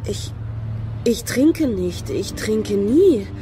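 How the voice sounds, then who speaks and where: A woman's voice: medium pitch, expressive, drawn-out words, dark tone, faint music behind. An actress in a radio play voicing a prim, touchy, unstable young woman with childlike insistence. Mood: confused. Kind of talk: German radio drama acting. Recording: background street traffic noise.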